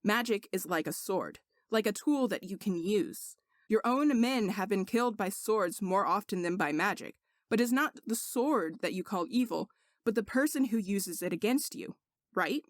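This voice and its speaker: A woman's voice: medium pitch, lively intonation, normal speed, no room sound, clean studio sound, lightly acted. A woman voicing an arrogant but earnest male sorcerer knight in a story, speaking in a formal, lecturing way. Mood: earnest, explaining.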